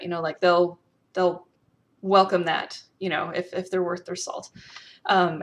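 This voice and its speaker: A woman talking casually and reflectively, in an earnest and emphatic manner, as a role-player sharing advice. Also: lowish voice.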